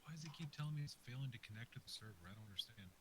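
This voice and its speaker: A man's voice: mid-range voice, animated, racing, a bit bright, slight background noise. A man on a gaming live stream, muttering to himself as he works through his setup. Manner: mutters to himself while fixing tech. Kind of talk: casual live-stream talk.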